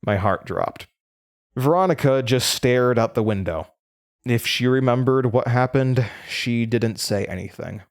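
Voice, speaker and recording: A man's voice: mid-range voice, measured pace, lightly acted. A man playing a shaken young college student, narrating a horror audio drama in a dramatic, haunted way that stammers under stress. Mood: haunted, sombre. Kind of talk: horror audio drama first-person narration, acting out dialogue. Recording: music bed under the voice. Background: music.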